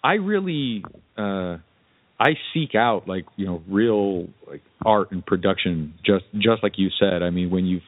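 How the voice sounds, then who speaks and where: A man with a fairly low voice talks casually, feeling for his words in a halting way as a podcast host would. The sound is dry and narrow, like a phone line. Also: expressive, no room sound.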